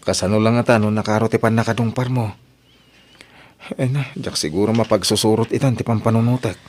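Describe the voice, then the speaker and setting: A man's voice: on the low side, fairly even intonation, fairly quick, darkish tone, slight background noise, lightly acted. A man acting as a guilt-ridden, generous young man in an Ilocano radio drama, solicitous and insistent, with an Ilocano accent. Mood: guilty, concerned.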